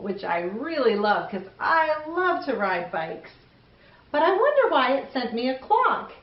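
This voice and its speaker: A middle-aged woman presenting to young children in a chatty, expressive way, addressing them directly. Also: medium pitch. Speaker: host of a children's story show. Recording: roomy, distant mic, slightly dull.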